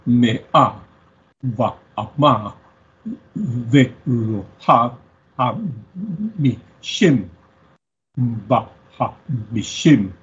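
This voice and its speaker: A man's voice: mid-range voice, expressive. A man giving a liturgical reading of Torah verses. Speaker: scripture reader. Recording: dull, muffled lo-fi audio.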